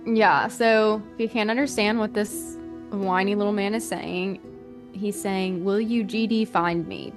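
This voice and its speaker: A woman hosting a podcast, telling a true-crime story in a conversational, colloquial way. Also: mid-range voice. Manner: casual, colloquial phrasing with frequent "like". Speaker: podcast host.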